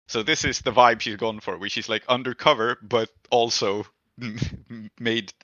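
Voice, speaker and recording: A man's voice: medium pitch, fairly even intonation, measured pace, bright, forceful tone, no room sound, lo-fi sound. A man improvising narration as game master in a tabletop roleplaying game, thinking aloud as he goes.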